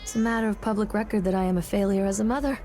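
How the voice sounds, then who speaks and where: A woman's voice: mid-range voice, fairly even intonation, rapid-fire, mellow, close to an everyday voice. An actress playing a troubled, guilt-ridden mother, delivering a dramatic scripted monologue in a brooding, intense, confessional manner for a video game. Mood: bitter, confessional. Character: troubled, guilt-ridden mother searching for her lost son. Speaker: narrator and main character of a video game.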